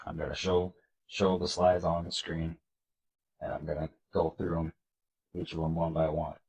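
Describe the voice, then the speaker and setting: A man with a congested, nasal voice from a cold, hosting a video and reading slides aloud in an earnest, measured way. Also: low-pitched, creaky, a little mellow, highs cut off. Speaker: political video host.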